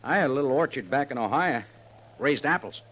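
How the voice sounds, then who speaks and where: An actor in an old-time radio western playing a naive, nervous homesteader with a rural American accent. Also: male voice, medium pitch, expressive, brisk, a bit bright. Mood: wary, friendly. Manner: earnest, nervous. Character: naive, frightened young homesteader, plain and earnest. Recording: noisy old radio recording.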